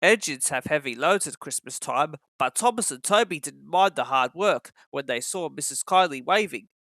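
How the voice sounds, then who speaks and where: A man's voice: on the high side, expressive, normal speed, bright, forceful tone, no echo. A man reading a children's story as a scripted narration.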